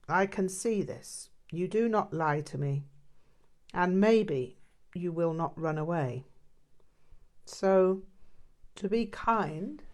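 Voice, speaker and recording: A woman's voice: fairly low pitch, darkish tone. A woman voicing a stern, probing old male Buddhist master in an audiobook, with blunt, emphatic short sentences. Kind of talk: audiobook character dialogue.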